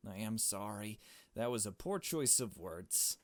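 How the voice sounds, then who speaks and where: Casting: male reader as soft-spoken, lowish-pitched getaway driver, polite and measured, forever placating, visual novel role. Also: lively intonation, no echo. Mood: apologetic. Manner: measured, polite, formal, subdued. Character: calm, apologetic, cautious male getaway driver.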